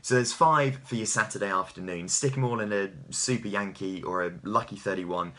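A man's voice: lowish voice, moderate pace, pushed and bright, slight background noise. A man in his thirties giving horse racing tips to camera with an emphatic, punchy delivery. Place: small home office, indoors.

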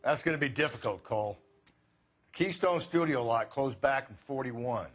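A man playing a short-tempered, middle-aged American police detective in a video game, gruff, blunt and slangy. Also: mid-range voice, lively intonation, normal speed, a little hiss or hum, close to an everyday voice. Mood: dismissive. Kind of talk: game cutscene acting.

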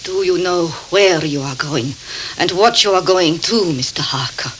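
An actress playing a solicitous, foreboding woman innkeeper with an Eastern European accent in a radio drama, halting and deferential. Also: on the low side, a bit bright, close to an everyday voice, low-quality recording. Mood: grave warning. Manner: halting, tag questions, deferential. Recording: hissy 1979 cassette tape.

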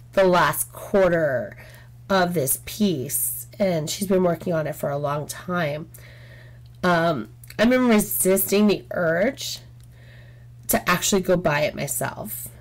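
A woman chatting casually while she works on a craft, frank and rambling with lots of "um"s, her throat getting sore. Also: on the low side. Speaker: craft channel host. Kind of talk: casual chat while diamond painting. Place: home craft table, indoors.

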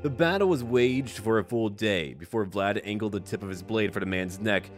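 A man's voice: fairly low pitch, normal speed. A man narrating a strategy game roleplay in a theatrical, in-character manner. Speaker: gaming video host. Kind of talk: scripted story narration and game commentary. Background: background music.

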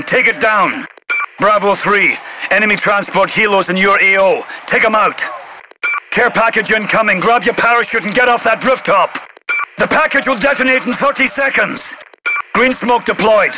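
Stern male commander for a military shooter: slightly high voice, terse orders, radio-filtered over clear background music. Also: poor audio. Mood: urgent. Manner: clipped, commanding. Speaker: mission control commander. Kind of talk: scripted video game military radio dialogue.

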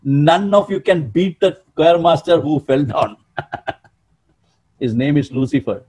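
A man in his sixties preaching a sermon in an emphatic, animated way, often calling out to his listeners, over a webcam in a small room. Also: on the high side, takes it slowly, husky, low-quality recording. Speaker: pastor.

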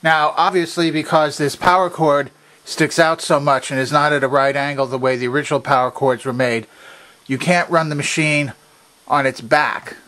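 A man's voice: on the high side, fairly even intonation, moderate pace, sharp tone. Methodical man giving a how-to demonstration. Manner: methodical, precise explaining. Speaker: demonstrator of a vintage machine. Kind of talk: instructional demonstration, explanatory. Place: indoor workshop at a workbench.

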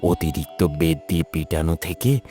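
A man's voice: extremely low pitch, normal speed, dark tone, faint music behind. A man playing a caring village father in a cartoon, speaking politely and earnestly. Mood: respectful, earnest.

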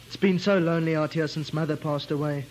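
Man acting a high-voiced, portentous, suspicious brother. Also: steady tone, fast, a little mellow, slight background noise, lightly acted. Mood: uneasy, ominous. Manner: ominous, portentous, declamatory. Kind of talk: comic melodrama radio play acting.

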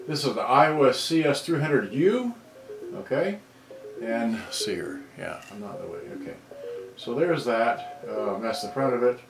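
A man's voice: mid-range voice, animated, measured pace. Guy doing a loose hobbyist show-and-tell, kinda mumbling to himself, far from the mic, music behind. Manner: rambling, muttering to himself while searching. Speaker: vintage electronics hobbyist presenter. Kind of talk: casual off-the-cuff show-and-tell narration. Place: indoors in a room at a computer screen. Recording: roomy echo, distant mic, music behind.

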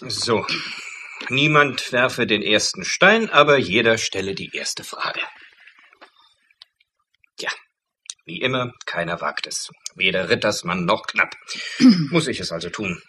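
A man's voice: lowish voice, animated, slow, pauses often, pushed and bright, slight background noise, full character voice. An actor playing a wry, pompous academic committee chairman with formal, florid manners in a radio drama. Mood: pompous, officious.

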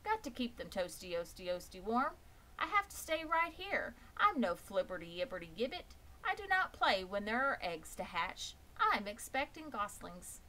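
A woman's voice: mid-range voice, big pitch swings, normal speed, sharp tone, pitched above the performer's own voice, rough recording. A woman reading aloud and voicing a fussy, motherly goose who repeats bits of her words.

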